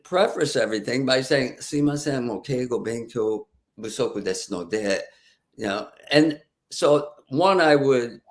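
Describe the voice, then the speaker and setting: A man hosting a casual conversation, talking in a relaxed way with frequent "you know"s. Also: mid-range voice, moderate pace. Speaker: host.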